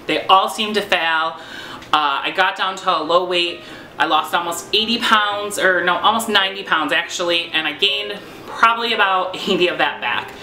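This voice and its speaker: A man's voice: high voice, normal speed, bright, forceful tone, a little hiss or hum. A young man from New York chatting casually about meal prep in his home kitchen, rambling with many fillers and self-deprecating asides. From New York, USA. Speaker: vlogger. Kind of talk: casual home cooking vlog. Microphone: fixed camera at close range. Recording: echoey kitchen with faint music.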